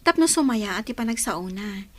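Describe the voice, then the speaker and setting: A woman's voice: mid-range voice, animated, moderate pace, lightly acted. A woman playing a supportive, hopeful grown daughter in an audio drama. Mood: hopeful, encouraging.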